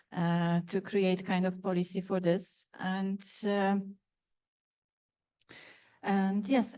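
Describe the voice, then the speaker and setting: A woman's voice: mid-range voice, warm tone. Hesitant woman panelist. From Poland. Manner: hesitant, many fillers and restarts. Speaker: panelist, university open science staff. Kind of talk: panel discussion answer. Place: conference hall.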